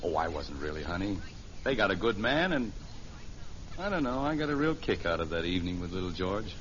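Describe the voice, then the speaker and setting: An actor playing a gentle, easygoing middle-aged father in a 1950s radio sitcom, soft-spoken and understated. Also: low-pitched, big pitch swings, brisk. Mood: modest, relaxed. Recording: muffled old radio recording, faint hiss.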